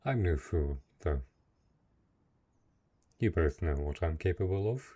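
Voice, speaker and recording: Man playing a deep, husky, brooding dark knight. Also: expressive, measured pace, highs cut off. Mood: brooding, jealous. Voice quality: husky, low voice. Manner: hesitant, earnest, formal phrasing. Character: lonely, possessive dark knight, lord of a forest castle. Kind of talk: dark fantasy romance audio roleplay.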